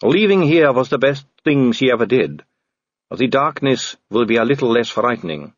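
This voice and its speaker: A man voicing a sardonic female vampire aristocrat, speaking calmly with a slow drawl. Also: mid-range voice, dry recording, dull audio, pitched above the performer's own voice, natural voice. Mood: languid. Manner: calm, languid, drawling.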